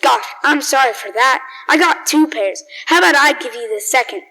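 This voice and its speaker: A woman acting as an excitable, boastful young urchin boy in a radio drama, with folksy, slangy speech. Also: high-pitched, moderate pace, full character voice. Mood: boastful, cocky. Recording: roomy hall with long reverb.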